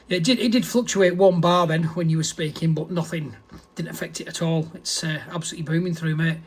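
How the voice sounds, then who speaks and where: A man's voice: a little high, fairly even intonation, fast. A man talking casually as an amateur radio operator, matter-of-fact and rambling, often correcting himself.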